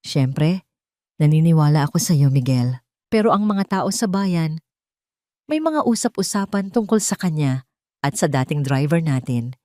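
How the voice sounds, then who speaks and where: A woman voicing a meek but calculating betrayed wife with a hesitant, hushed manner in an audio drama. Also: low-pitched, lively intonation, moderate pace, long pauses, no room sound, clean studio sound. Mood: hesitant, insinuating.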